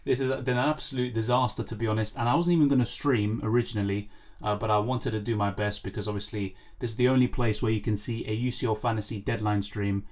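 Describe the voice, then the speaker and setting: A man with a hoarse, lost voice, casually chatting on a live stream and answering viewer questions over a faulty microphone. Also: fairly low pitch, steady tone, quick pace, a little mellow. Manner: apologetic, rambling, answers chat questions. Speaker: fantasy football streamer. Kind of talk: casual live stream chat, reading comments.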